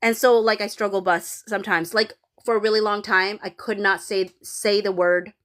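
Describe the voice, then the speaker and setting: A woman's voice: medium pitch, steady tone, moderate pace, fairly bright tone. Chatty woman with a Filipino accent. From Philippines. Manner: rambling, many fillers and restarts, reads out viewer comments. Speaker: livestream host reading chat. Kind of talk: casual livestream chat.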